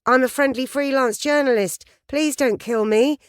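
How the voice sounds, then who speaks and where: A woman voicing a plucky female journalist, talking in a bright, breezy, overly friendly way. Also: high voice, normal speed, no room sound, studio quality, pitched above the performer's own voice, natural voice. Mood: overfriendly. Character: plucky British woman journalist, bold and chatty.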